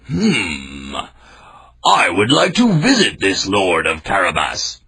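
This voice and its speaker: A proud, curious storybook king who asks questions in a stately way and speaks slowly, as a male narrator puts him on. His delivery is lively and rises and falls. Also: low voice, vocal fry, slightly rough, exaggerated voice.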